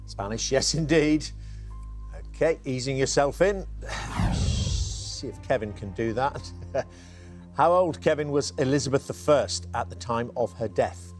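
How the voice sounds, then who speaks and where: A man's voice: mid-range voice, measured pace, long pauses, faint music behind. A man hosting a TV quiz show, chatty and teasing as he reads the questions. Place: TV studio.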